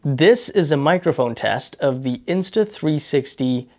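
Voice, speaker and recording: A man's voice: on the high side, animated, a little slow, a little mellow. A man giving a casual spoken review of a camera to his viewers, talking conversationally into a lavalier mic and offering direct advice. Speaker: tech product reviewer.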